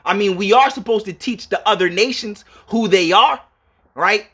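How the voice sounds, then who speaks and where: A man's voice: high voice, normal speed, a bit bright, slightly rough. A man preaching informally, reading scripture and explaining it emphatically, repeating himself and checking that listeners follow. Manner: emphatic and repetitive, punctuated with check-in questions.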